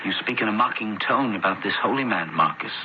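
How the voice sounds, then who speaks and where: A man's voice: fairly low pitch, animated, fast talker, natural voice. Devout, concerned young Roman, male actor, formal earnest speech, muffled radio play with faint noise. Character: devout, worried young Roman brother studying priesthood. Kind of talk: radio drama acting.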